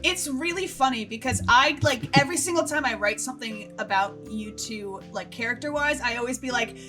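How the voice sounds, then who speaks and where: A woman hosting a casual group Q&A, an animated, giggly storyteller who often quotes herself. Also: a little high, fairly quick, over music. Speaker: host and writer of a fiction show.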